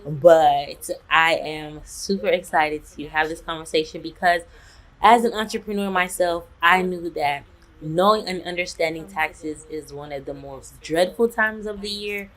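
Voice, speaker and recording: A woman's voice: fairly low pitch, measured pace, rough recording. A woman hosting a business webinar, introducing her guest with chatty enthusiasm. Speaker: webinar host.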